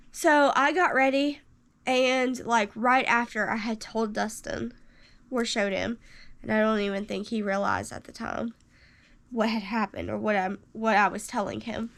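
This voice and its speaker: A young woman in her twenties telling a personal story to camera, animated, rambling with fillers and jumping up in pitch for quoted lines. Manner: rambling with many fillers and restarts. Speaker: vlogger.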